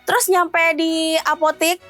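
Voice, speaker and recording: A woman's voice: very high-pitched, steady tone, measured pace, fairly bright tone. A chatty, scatterbrained woman, telling things casually as a dating show contestant. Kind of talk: casual self-introduction, storytelling. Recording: music playing behind the voice. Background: music.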